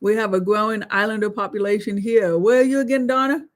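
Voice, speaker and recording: An older woman co-hosting a casual live chat, calmly reading out and answering viewer comments. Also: medium pitch, quick pace. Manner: calm, reads viewer comments aloud. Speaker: livestream co-host.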